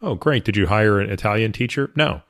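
Male podcast host, very low voice, deliberate questions, dry close sound. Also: lively intonation, fairly bright tone, dry recording. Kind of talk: interview questions.

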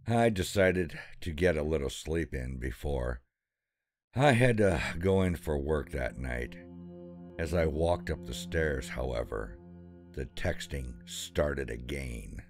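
A man with an extremely deep voice narrates a first-person horror story. He speaks very slowly and deliberately, in a measured, ominous way. Clear music plays beneath the voice-over. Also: lively intonation.

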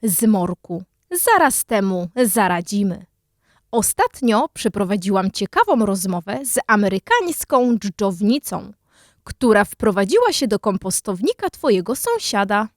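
Calm, lively-toned fairy, acted by a woman. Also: medium pitch, measured pace, dry recording, clean studio sound. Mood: calm, reassuring. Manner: calm, reassuring, explanatory. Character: calm, helpful golden-haired fairy friend who explains things. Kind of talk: children's audio story acting.

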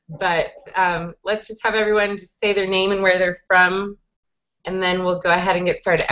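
A woman's voice: lowish voice, fairly bright tone. A woman in her thirties leading a casual online English lesson, patiently explaining game rules step by step over a webcam video call. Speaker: English conversation teacher. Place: small indoor room with whiteboard. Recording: dull lo-fi video call audio.

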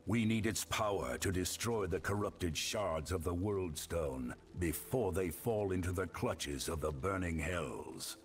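An actor playing a stern, resolute warrior adventurer in a video game, grave, purposeful and formal. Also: male voice, deep voice, a little slow, hardly any pauses.

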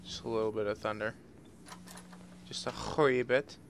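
A man's voice: on the low side, fairly even intonation, normal speed, a little hiss or hum. A young man chatting in a laid-back, goofy way while playing a co-op horror game. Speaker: co-op gaming partner. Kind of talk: casual co-op gameplay chat.

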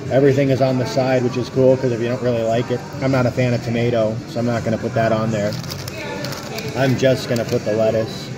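A man's voice: medium pitch, little pitch movement, fast, rough recording. A man, casually reviewing food on a phone at arm's length in a pub. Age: 30s. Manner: upbeat, chatty, rambling with fillers. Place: booth in a pub restaurant. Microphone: phone held at arm's length.

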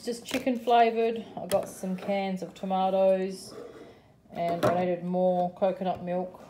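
Aussie mum doing a grocery haul, relaxed mellow voice, lots of ums, faint background hum. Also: female voice, medium pitch. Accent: Australian. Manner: chatty, running price commentary with frequent ums. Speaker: vlogger showing a grocery haul. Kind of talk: casual chat. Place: home kitchen or dining room. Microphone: phone camera held over a table.

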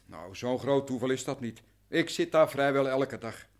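An actor playing a cautious, worn-down merchant in a radio drama, explaining things formally and carefully. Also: male voice, mid-range voice, expressive, moderate pace, close to an everyday voice. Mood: weary, explanatory. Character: cautious, anxious, worn-down male grain merchant.

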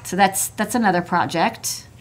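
A woman's voice: medium pitch, moderate pace, slight background noise. An American woman, an electronics engineer, giving an informal, rambling explanation with many asides on a casual livestream. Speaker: electronics engineer presenting her work. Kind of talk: casual livestream tech talk. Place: indoor desk workspace.

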